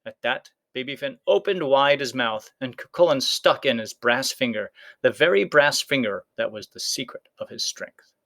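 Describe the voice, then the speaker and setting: A man's voice: mid-range voice, expressive, normal speed, a bit bright. A man reading a children's folktale aloud as scripted storybook narration. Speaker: story reader.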